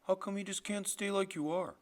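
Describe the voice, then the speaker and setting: Earnest, slow, worried teenage lad for an audiobook, plain puzzled delivery, slightly high, read by a man. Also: steady tone, lightly acted. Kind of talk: audiobook character voice.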